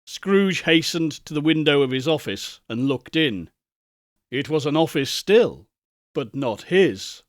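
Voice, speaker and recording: A male narrator reads a Victorian ghost story slowly and theatrically, with a raised voice. His pitch sweeps widely across each sentence. The recording is dry and close. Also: no echo. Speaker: narrator, audiobook reader.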